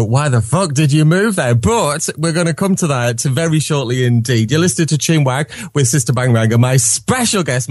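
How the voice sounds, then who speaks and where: A man's voice: on the high side, no echo. Guy hosting a podcast, bouncy voice, lots of "isn't it", dry sound. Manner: lively, tag questions, stumbling restarts. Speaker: podcast host. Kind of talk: casual conversation.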